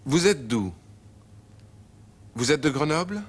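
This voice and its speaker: A man narrating a language lesson, repeating phrases slowly and clearly. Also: a little high, animated. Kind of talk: scripted teaching narration.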